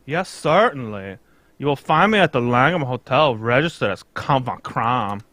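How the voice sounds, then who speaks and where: A man's voice: mid-range voice, expressive, moderate pace, fairly bright tone, dry recording, lightly acted. A man playing an anxious, pompous foreign king in a radio mystery, earnest, in stilted English that drops its articles. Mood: anxious, formal. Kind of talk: old-time radio mystery acting, read live.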